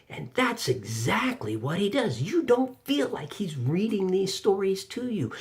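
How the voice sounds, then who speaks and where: A man giving an informal spoken review as an audiobook reviewer, emphatic, with dramatic pauses and repeated phrases, recorded in a home office. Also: medium pitch, vocal fry.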